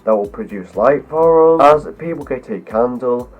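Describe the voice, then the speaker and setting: A man's voice: mid-range voice, animated, moderate pace. A man with a cold, talking through a rambling explanation as a video host, stringing clause after clause together. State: has a cold, voice deeper than usual. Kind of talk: scripted talk to camera.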